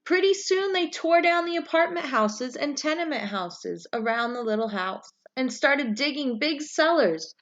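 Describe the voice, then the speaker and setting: A woman reading a children's picture book aloud, with expressive, deliberate storytelling phrasing. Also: high voice, highs cut off. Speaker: story reader, read-aloud for young children.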